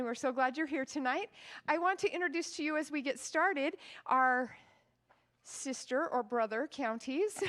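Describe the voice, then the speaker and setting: A woman with an American accent, a county party chair, emceeing an event in a hall and making chatty introductions in a big voice. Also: sits high. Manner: loud, chatty, laughs between introductions.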